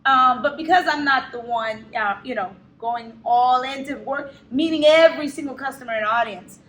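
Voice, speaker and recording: A woman co-hosting a live talk show, chatting casually with frequent laughter and quick interjections. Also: high-pitched, a bit bright, roomy sound, poor audio. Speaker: co-host and burlesque show host.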